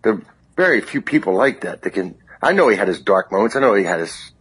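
A plain-spoken, opinionated man with a husky voice, chatting casually as a comedian guest in an interview. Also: fairly low pitch, sing-song, fast talker, fairly bright tone, low-quality recording.

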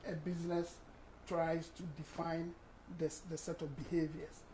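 A Ghanaian man in his sixties, a guest author speaking in a measured, explanatory way in a studio interview on a lapel mic. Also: a little high, monotone, mellow, slight background noise, poor audio. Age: 60s.

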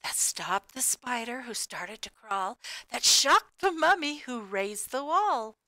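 A woman reading a rhyming picture book aloud to children in an expressive, sing-song way with emphatic key words. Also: fairly high pitch, slow pace, no room sound. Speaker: storybook reader.

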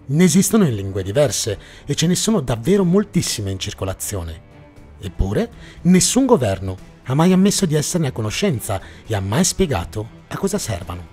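A man's voice: mid-range voice, sing-song, normal speed, a little mellow. A man narrating a scripted mystery documentary in a suspenseful, dramatic storytelling manner. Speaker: narrator and host of a mystery series. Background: background music.

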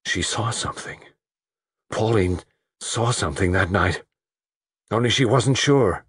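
Man acting a grim, agitated, husky man. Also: mid-range voice, animated, slow, no room sound, voice pushed up. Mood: shaken, muttering. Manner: heavy, thick, muttering or accusing. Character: grim, agitated, vengeful wealthy older man.

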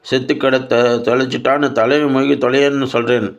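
A man's voice: mid-range voice, flat delivery, brisk, highs cut off, voice pushed up, lightly acted. A man voicing an angry, orthodox middle-aged son in a story, scolding harshly in exasperation. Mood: furious.

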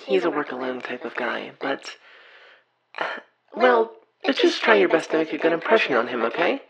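A woman's voice: low voice, big pitch swings, moderate pace, creaky, a crowd in the background, exaggerated voice, rough recording. A woman playing a friendly, encouraging facility staffer in an audio roleplay, chatty and reassuring.

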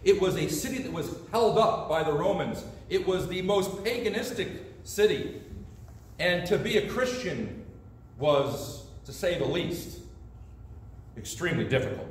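Animated preacher in an echoey sanctuary. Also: male voice, sits high, unhurried. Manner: animated storyteller, gestures with both hands. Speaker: pastor. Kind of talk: storytelling sermon. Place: church sanctuary, indoors. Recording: distant echoey sanctuary sound.

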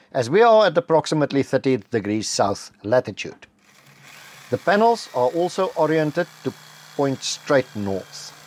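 A middle-aged South African man with an Afrikaans background, narrating a homestead building vlog in a measured, matter-of-fact voice-over. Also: medium pitch, darkish tone, slight background noise. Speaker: homestead vlogger. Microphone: close voice-over mic.

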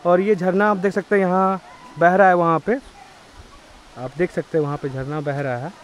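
A man's voice: high-pitched, steady tone, moderate pace, poor audio. A man talking casually to his viewers as a travel vlogger, chatty and calling them guys, filming outdoors by a waterfall. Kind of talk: casual vlog talk to viewers. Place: outdoors on a hill path by a waterfall.

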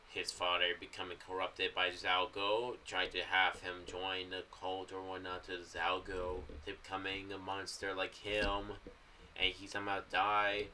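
A young American man giving casual, meandering commentary to camera in a halting, loose way. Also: sits low, bright, forceful tone, distant mic, a little hiss or hum. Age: young adult. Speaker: video creator giving theories on an audio drama series. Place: small room indoors. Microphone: boom-arm condenser with pop filter, off to the side.

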